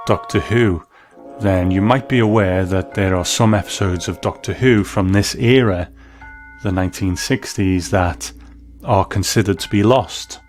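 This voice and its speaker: Male host, low register, scripted documentary narration, faint music bed. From Britain. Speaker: podcast host.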